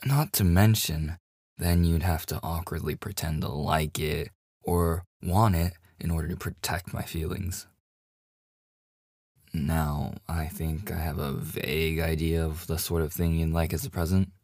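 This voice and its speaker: A man plays a devoted boyfriend with a hidden obsessive streak in an ASMR roleplay. His voice is low and very close and dry. He talks slowly and intimately, with hesitant stammers. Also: steady tone, a little mellow, dry recording, full character voice. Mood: gently earnest. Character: devoted, nervously joking boyfriend hiding obsessive yandere streak. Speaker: voice actor.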